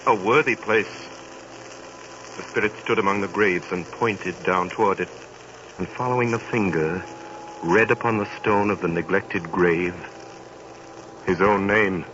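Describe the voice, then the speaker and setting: A man's voice: low voice, measured pace, long pauses, background noise. A man giving dramatic, sonorous scripted narration in a 1930s radio drama. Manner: sonorous, theatrical. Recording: dull 1930s radio broadcast with music.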